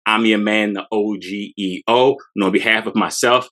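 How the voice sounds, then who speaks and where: A man's voice: fairly low pitch, fairly even intonation, moderate pace, fairly bright tone. Man, forties, sneaker show co-host, hype slang, repeats himself, small room. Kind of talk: casual sneaker showcase. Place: small room with shoe box shelves. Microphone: fixed camera at close range.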